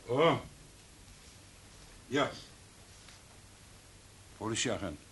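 A man's voice: medium pitch, big pitch swings, drawn-out words, a bit bright, full character voice. An actor playing a mock-courteous, dryly menacing henchman in an old, hissy Dutch radio play. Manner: dry, falsely apologetic.

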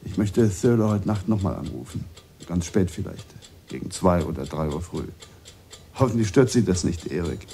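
An actor playing a composed, probing man negotiating a ransom in a radio play, with a husky voice and terse, businesslike questions. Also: deep voice, animated, normal speed, dark tone, a little hiss or hum. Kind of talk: German radio play acting.

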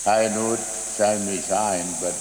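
A man's voice: lowish voice, steady tone, normal speed, darkish tone. A man telling a story in simple, broken phrases, repeating his words. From Australia. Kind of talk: unscripted spoken testimony. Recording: echoey, hissy, insects chirping.

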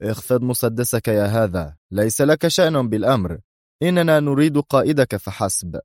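A man's voice: mid-range voice, fast, darkish tone, no echo, clean studio sound, voice pushed up, close to an everyday voice. A man voicing a stern defence minister in an audiobook, clipped and commanding. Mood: stern, sharp. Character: stern, sharp-tongued defence minister accusing a traitor.

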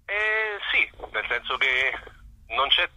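A man being interviewed as a performer, talking casually and reflectively, often pausing to search for his words. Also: medium pitch, sing-song, bright, forceful tone, poor audio. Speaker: interview guest, singer-songwriter and performer.

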